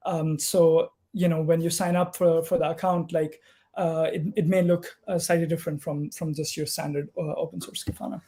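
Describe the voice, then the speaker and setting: A man's voice: on the high side, flat delivery, moderate pace, warm tone. A man from Pakistan giving a technical conference talk over a video call, hesitant and full of ums and uhs. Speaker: conference speaker, software engineer.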